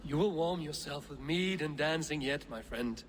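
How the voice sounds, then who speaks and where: A man voices a steady warrior leader, grave in quiet moments and commanding in the fight. His pitch sits a touch high. Game ambience runs beneath. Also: normal speed, slight background noise, natural voice. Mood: solemn. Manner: calm and grave, then commanding shouts.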